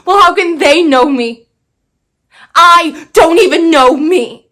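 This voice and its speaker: A woman's voice: very high voice, takes it slowly, bright, forceful tone, exaggerated voice. A young woman performing a bitter, brash dead girl in a theatrical monologue, sardonic and emphatic. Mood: regretful, anguished. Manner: sardonic, emphatic, swinging into outbursts.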